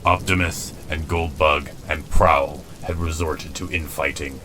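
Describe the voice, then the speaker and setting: An actor playing a weary, stoic robot commander, solemn and commanding, in a dramatic comic dub. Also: male voice, extremely low pitch, fairly even intonation, a little slow, bright, forceful tone, slight background noise, heavily acted. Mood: somber, weary. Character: weary, stoic male robot commander, grave and self-doubting.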